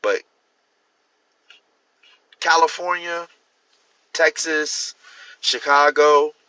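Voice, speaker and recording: A man from Miami chatting casually on a livestream, rambling with restarts and jumping up in pitch to stress a point. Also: flat delivery, drawn-out words, pushed and bright, no echo, muffled recording. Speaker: author hosting a livestream. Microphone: phone or computer mic.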